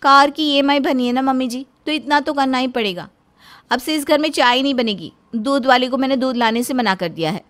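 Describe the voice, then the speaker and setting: A woman's voice: sits high, brisk, a bit bright. A woman voicing a petulant, boastful young housewife in a cartoon story, whiny, dramatic and insistent. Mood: stubborn.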